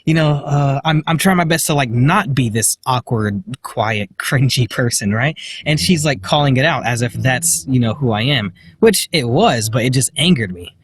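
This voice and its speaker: Quiet young man rambling outdoors. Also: mid-range voice, animated, moderate pace, few pauses, slight background noise. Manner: filler-heavy, self-deprecating, with nervous little laughs. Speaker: vlogger. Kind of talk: rambling personal story to camera. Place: outdoors, walking in public. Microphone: handheld phone.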